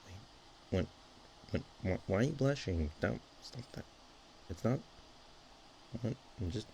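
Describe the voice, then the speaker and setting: A man's voice: on the low side, animated, measured pace, vocal fry, a little mellow, poor audio. A man voicing a bashful, affectionate dragon girl in an intimate ASMR roleplay, stammering and hesitant. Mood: teasing, threatening, then flustered, embarrassed. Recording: faint background noise.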